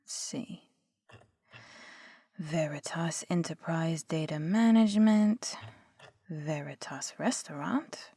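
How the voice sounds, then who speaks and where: A woman's voice: sits low, dark tone, no room sound, studio quality. A young woman performing a one-sided audio roleplay as a skeptical investigator, arguing and sarcastic, sometimes dropping to a whisper. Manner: skeptical, argumentative, sarcastic, swears casually.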